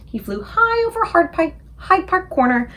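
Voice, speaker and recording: A woman reading a children's book aloud as an expressive storyteller for a book club. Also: very high voice, moderate pace.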